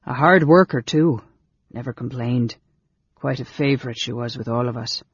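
A man voicing a gruff, weary innkeeper in a fantasy audiobook, with blunt, folksy rustic speech. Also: mid-range voice, fairly even intonation, moderate pace, dry recording, highs cut off, natural voice. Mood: sad, blunt.

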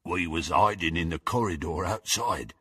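A man's voice: low voice, moderate pace, bright, forceful tone, slightly rough, no room sound, studio quality, pitched below the performer's own voice, full character voice. Man doing a gormless grunting teenage henchman. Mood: gormless pride. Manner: grunting, dim, uneducated grammar.

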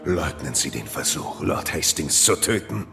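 An actor playing a stern, distrustful Victorian knight in a German audio drama, formal and commanding. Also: male voice, extremely low pitch, animated, a little slow, vocal fry, over music, full character voice.

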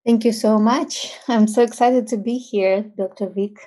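A woman from Ukraine with a Ukrainian accent, speaking as a podcast guest in a halting, conversational storytelling way. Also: a little high, steady tone, warm tone.

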